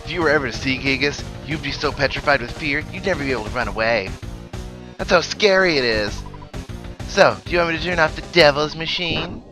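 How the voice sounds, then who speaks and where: Guy doing a bratty gloating game villain kid, higher voice, taunting and fake sorry for you, music behind. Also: expressive, fairly quick, lightly acted. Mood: boastful taunting. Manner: taunting, theatrical, mock-pitying. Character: obnoxious gloating boy villain in a war machine. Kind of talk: read-aloud video game villain dialogue.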